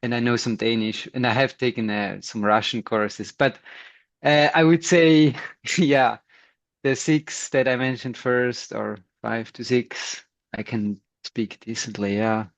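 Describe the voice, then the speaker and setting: A man with a Swiss German accent, a non-native English speaker, chatting as an interview guest, thoughtful and quick to laugh. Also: medium pitch, steady tone, gravelly, dry recording. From Switzerland. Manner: thoughtful, laughs often, many restarts. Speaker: guest, scientist and runner. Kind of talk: casual interview chat.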